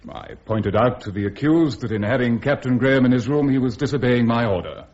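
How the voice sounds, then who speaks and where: An actor playing a stiff, formal British army colonel giving measured evidence in a radio drama. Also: male voice, medium pitch, a little mellow, close to an everyday voice. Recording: dull lo-fi radio drama recording.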